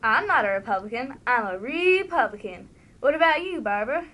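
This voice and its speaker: A woman's voice: fairly high pitch, expressive, a little fast, a bit bright, poor audio. A young woman hosting a mock 1920s radio show.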